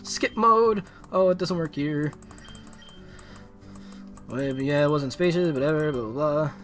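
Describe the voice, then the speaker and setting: Guy streamer, kinda high voice, casual and irreverent reading game text, bit of music behind him. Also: measured pace, a bit bright. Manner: irreverent, skims text with blah-blahs and sing-song filler. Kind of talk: casual game commentary, reading dialogue aloud.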